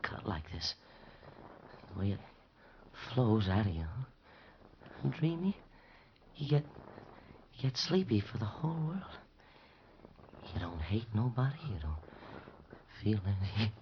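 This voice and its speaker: An actor playing a dying, streetwise American musician in a 1950s radio drama, slangy, bitter and fading. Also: male voice, on the low side, big pitch swings, a little slow, long pauses. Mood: fading, dreamy. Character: dying streetwise male trumpet player, bitter and dreamy. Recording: muffled, noisy old radio recording.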